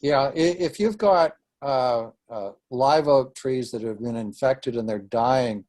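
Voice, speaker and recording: A man in the audience asks questions hesitantly, with fillers and restarts. His voice sits at a middle pitch. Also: takes it slowly, darkish tone. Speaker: audience member. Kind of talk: Q&A question and discussion.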